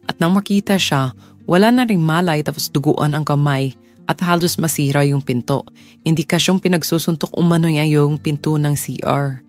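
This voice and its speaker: A woman's voice: deep voice, moderate pace, darkish tone, lightly acted. A woman playing a worried mother of a sick son in a Filipino audio drama, speaking earnestly over music. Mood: troubled recollection. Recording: music bed under the voice.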